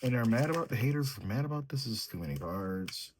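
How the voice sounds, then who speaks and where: A man with a mellow, sleepy way of talking, reading tarot cards and thinking aloud as he draws them. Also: medium pitch, lively intonation, fairly quick, noisy background. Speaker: tarot reader. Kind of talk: solo tarot reading, casual chat.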